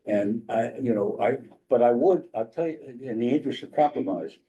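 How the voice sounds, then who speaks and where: Blunt man with a very dark voice. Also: mid-range voice, fairly quick, dull audio. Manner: blunt, argumentative. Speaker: committee member. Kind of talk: committee debate. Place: community meeting room.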